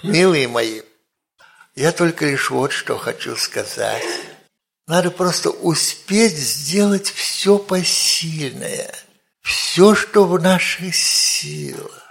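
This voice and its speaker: A man's voice: fairly high pitch, animated, takes it slowly, creaky, fairly bright tone, husky. A man in his seventies preaching informally, in a conversational way with rhetorical questions and repeated phrases. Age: 70s. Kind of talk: informal sermon with personal anecdotes.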